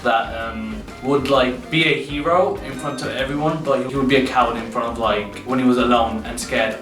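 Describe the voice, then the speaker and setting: A teenage boy, a drama student, explaining informally and haltingly with repeated words. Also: mid-range voice, steady tone. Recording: echoey, distant, music underneath.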